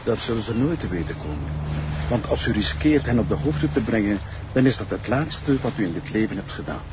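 An actor playing a greedy, menacing corrupt police inspector in a TV crime drama, curt and demanding. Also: male voice, on the low side, very animated, brisk. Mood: threatening. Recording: dull lo-fi outdoor scene, clear noise.

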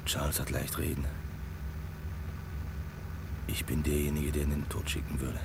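Very deep, gravelly brooding tormented man. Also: flat delivery. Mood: brooding, resigned. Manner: halting inner monologue, muttering to himself. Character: brooding, tormented man agonizing over reporting a murderer. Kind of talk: vintage German radio crime play acting. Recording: old radio recording, car noise behind.

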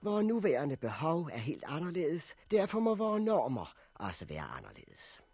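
An old, pedantic professor in a radio drama. His voice is husky and dry in sound, swinging widely in pitch as he lectures with formal, cool deliberation. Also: huge pitch swings, darkish tone, dry recording, full character voice. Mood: measured, lecturing. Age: older. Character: elderly male sociology professor, pedantic, coolly unsentimental orator. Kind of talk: 1960s radio drama acting, public speech.

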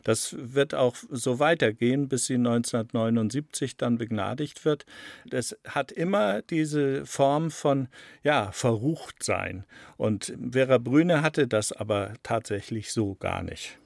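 Man with a gravelly, creaky voice. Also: medium pitch, unhurried, darkish tone, no echo, clean studio sound. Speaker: radio play author interviewed about his work. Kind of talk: explanatory interview talk.

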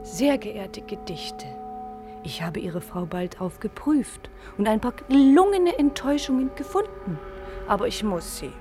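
An actress playing a restless, guarded night-shift worker with curt, evasive delivery in a German radio drama. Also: female voice, medium pitch, sing-song, measured pace. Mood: brooding. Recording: music behind the voice.

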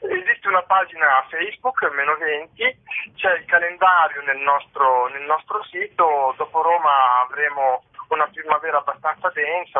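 A man being interviewed about theatre work over the phone, explaining in a thoughtful, somewhat hesitant way. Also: a little high. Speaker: interviewed theatre company co-founder and artist. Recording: narrow tinny phone line.

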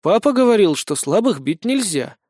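Guy doing a sweetly clueless young lad, friendly n deadpan, highish voice, fantasy story. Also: a little fast, no room sound, clean studio sound. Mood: thoughtful. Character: polite, naive, oddly earnest young male wanderer.

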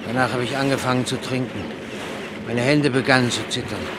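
A man's voice: mid-range voice, fairly even intonation, brisk, close to an everyday voice. A man acting in a radio drama as a frank, resigned former surgeon turned boatman, talking matter-of-factly. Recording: loud boat and outdoor background noise.

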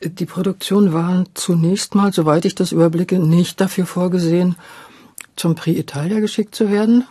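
An older woman, a former radio drama director, talking reflectively and a little haltingly in an interview, repeating words for emphasis. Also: lowish voice, steady tone, dark tone, breathy edge, dry recording.

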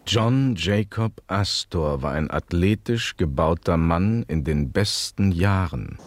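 An actor playing an authoritative narrator in a radio play, formal, deliberate and precise. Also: male voice, deep voice, expressive, exaggerated voice. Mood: formal, exacting. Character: authoritative male narrator, formal and exactingly detailed. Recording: 1970s radio recording, faint noise.

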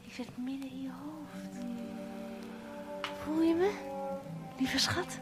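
Woman playing coaxing temptress voice in your head, highish, intimate n whispery, surreal radio play, loud music behind. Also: lively intonation, slow pace. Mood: tender, coaxing. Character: seductive, insidious female tempter voice inside the listener's head. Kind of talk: surreal radio play, addressing the listener directly.

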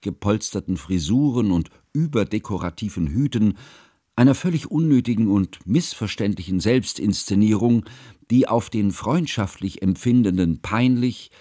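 An actor playing an earnest, learned male chronicler, narrating a literary radio drama in formal, measured, pedantic diction. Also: lowish voice, a little mellow, no echo, studio quality.

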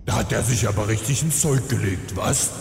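Man as a gravelly, taunting undead villain. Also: fairly low pitch, normal speed, a little hiss or hum. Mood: dry, taunting. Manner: mock-friendly, dryly sarcastic. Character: taunting, unbothered undead villain and old frenemy. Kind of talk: German comedy audio drama acting. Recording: echoing hall with loud music behind.

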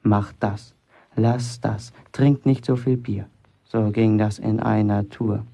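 An actor playing a cheeky, boastful young bricklayer in a German radio play, talking colloquially and defiantly. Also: male voice, fairly low pitch, steady tone, takes it slowly, vocal fry, warm tone, exaggerated voice. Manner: colloquial, provocative, self-justifying.

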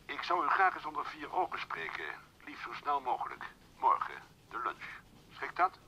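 Male executive, phone effect, sharp, very animated, brisk, radio drama. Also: fairly high pitch, creaky, a little hiss or hum, heavily acted, low-quality recording. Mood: businesslike, courteous. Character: brisk businessman arranging a meeting by telephone. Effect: telephone voice. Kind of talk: Dutch radio play acting.

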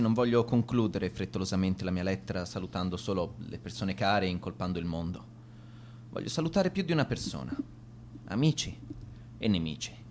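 An actor playing a bitter, despairing, sardonic schoolboy reading a farewell letter in a deliberate, wounded way, as a radio drama monologue. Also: male voice, fairly low pitch, a little mellow, slight background noise, close to an everyday voice. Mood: solemn resolve.

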